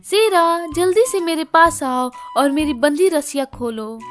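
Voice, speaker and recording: A woman's voice: sits high, a little slow, fairly bright tone, faint music behind. A woman voicing a sad, pleading young orphan village girl in a cartoon story. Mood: frightened. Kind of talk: Hindi cartoon story dialogue.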